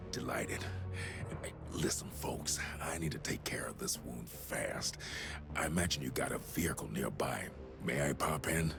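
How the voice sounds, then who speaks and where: Gravelly, very deep mercenary captain. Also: male voice, measured pace, in a whisper, music in the background, full character voice. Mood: sardonic, sly. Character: smug, wily male mercenary captain, sardonic. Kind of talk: video game cutscene acting.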